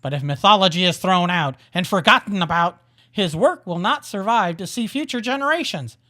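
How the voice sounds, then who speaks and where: A woman's voice: lowish voice, expressive, normal speed, bright, forceful tone. Woman narrating an audio drama.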